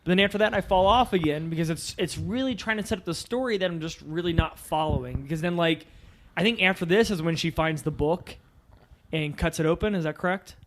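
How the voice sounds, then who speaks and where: A man talks through films in a casual podcast style. His voice is a bit higher than average for a man, and he guides the conversation as host. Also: brisk, a little hiss or hum. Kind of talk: casual film discussion.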